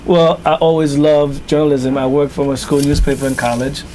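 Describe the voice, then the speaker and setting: A man from Haiti, founder of a newspaper, answering interview questions in a measured storytelling way. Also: on the high side, level tone, a little hiss or hum, rough recording.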